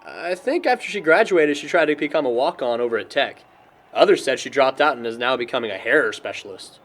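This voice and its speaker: A man's voice: medium pitch, lively intonation, a little fast, fairly bright tone. A man playing an easygoing young party host who jokes and gossips in an amateur audio drama. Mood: casual.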